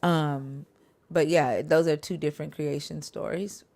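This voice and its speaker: A woman with a low voice hosts a casual live stream, answering questions in a halting, restarting way. Her voice sounds close and dry, with no room around it. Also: creaky, darkish tone, dry recording. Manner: halting, many fillers and restarts.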